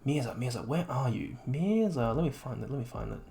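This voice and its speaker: A man working as an esports caster and interviewer, with a slightly croaky voice and an excitable way of talking. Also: medium pitch, a little fast. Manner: excitable, exclaiming at big plays. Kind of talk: live game commentary and post-match interview.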